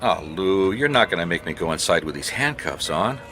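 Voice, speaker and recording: Radio mystery lead: a resourceful, wry detective, deep and animated, colloquial and hard-boiled. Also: male voice, normal speed, background noise. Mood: casual, persuasive. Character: wry, resourceful male private detective narrating his case. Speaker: lead actor and narrator. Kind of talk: noir radio drama acting and first-person narration.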